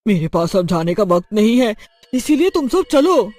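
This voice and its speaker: A man's voice: high-pitched, big pitch swings, normal speed, darkish tone, dry recording, faint music behind. A man voicing an earnest, well-meaning female witch in a cartoon, pleading emphatically in dramatic dialogue. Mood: determined.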